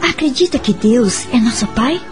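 Calm, high-voiced Brazilian actress as a kindly counsellor. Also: female voice, moderate pace, soft background music, close to an everyday voice. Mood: calm, instructive. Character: calm, kind married woman, patient spiritual counsellor. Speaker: radio drama actress. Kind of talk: radio soap opera acting.

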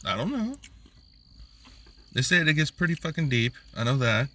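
A man's voice: fairly high pitch, very animated, moderate pace, pushed and bright, recorded from a distance. A man co-hosting a casual, boozy podcast chat, rambling in a laid-back way with plenty of swearing. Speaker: podcast co-host.

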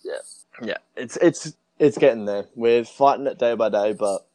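A young Australian man chatting casually as a podcast guest, relaxed and hesitant, with lots of "um". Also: mid-range voice, moderate pace, dry recording, rough recording. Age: young adult. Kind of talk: casual chat.

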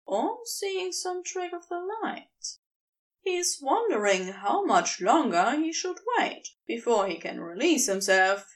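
A woman reading a scripted story aloud in a measured, deliberate audiobook style. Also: high-pitched. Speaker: podfic narrator reading fan fiction.

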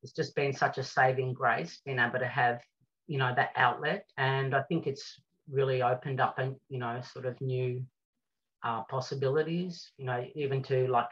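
A woman's voice: rumbling low, a bit bright. A woman guest on a casual interview, chatting in a rambling way with many fillers over a video call. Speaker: guest artist and cabaret singer. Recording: lo-fi lockdown call audio.

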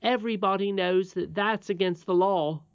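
A woman's voice: mid-range voice, normal speed, no room sound, lo-fi sound, voice pushed up, close to an everyday voice. A woman reading a storybook aloud, voicing a confident, cheerful twelve-year-old miner boy with a frank, matter-of-fact manner.

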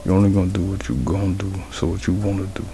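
A man's voice: sits low, little pitch movement, vocal fry, warm tone. A nineteen-year-old man musing in a freestyle monologue, slangy and profane, sometimes dropping to a whisper. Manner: slangy, profane, slow reflective talk, drops into whispers. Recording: noisy background ambience.

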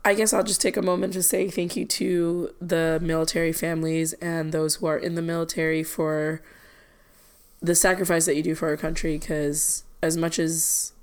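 Chatty woman with a lowish voice. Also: flat delivery, moderate pace, smooth. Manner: chatty, many "like" fillers, stumbling restarts. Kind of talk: casual chat.

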